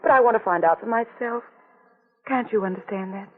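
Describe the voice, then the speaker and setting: A woman's voice: mid-range voice, fairly quick, lightly acted. An actress playing a frightened young woman with amnesia, anxious and pleading, in 1940s radio acting. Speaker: detective's client. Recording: tinny old radio broadcast.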